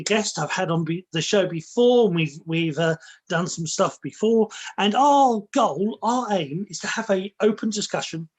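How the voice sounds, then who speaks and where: A podcast host with a slow, drawn-out mystical way of phrasing, opening the show and greeting a guest. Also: mid-range voice. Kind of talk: scripted show intro, then casual chat.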